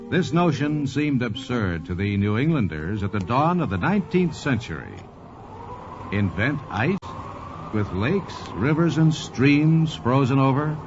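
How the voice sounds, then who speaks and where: A man narrating a scripted 1940s radio program as host, with polished storytelling and dramatic emphasis. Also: mid-range voice. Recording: muffled 1940s radio transcription. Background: music.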